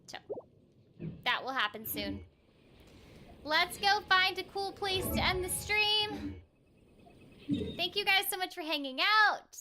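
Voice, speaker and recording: High-pitched woman streamer over game music. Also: expressive, slow pace, pauses often, sharp tone. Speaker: game livestream host, voice actor. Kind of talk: casual livestream chat while playing a game. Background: video game music.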